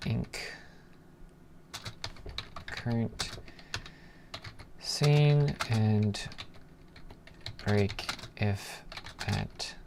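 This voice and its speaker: A man's voice: lowish voice, very slow, long pauses. A man in a casual coding livestream, thinking aloud and muttering to himself as he trails off, with keyboard typing. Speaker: live programming streamer. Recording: clear background noise, keyboard typing.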